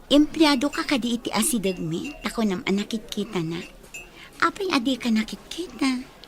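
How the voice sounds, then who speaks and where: High voiced young filipino woman in love, radio drama, loyal and stubborn, faint music behind. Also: very animated, moderate pace. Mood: wary.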